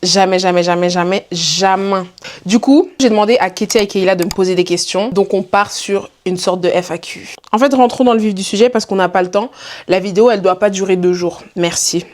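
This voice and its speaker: A woman's voice: mid-range voice, brisk. A woman in her twenties from Belgium, introducing herself to camera in a chatty, playful, self-mocking way. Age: 20s. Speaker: YouTuber.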